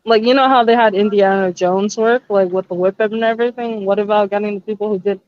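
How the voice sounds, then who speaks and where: A woman's voice: mid-range voice, fairly even intonation, fast, clean tone, no echo. A woman chatting casually in a tentative, questioning way over a voice chat. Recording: dull, lo-fi voice chat.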